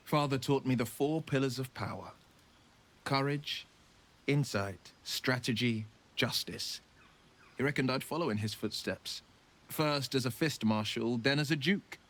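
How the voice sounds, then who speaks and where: Gallant, measured monster hunter. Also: male voice, medium pitch, animated, fairly bright tone. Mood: resolute. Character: noble, earnest male monster hunter, proud and wistful. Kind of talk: video game character dialogue.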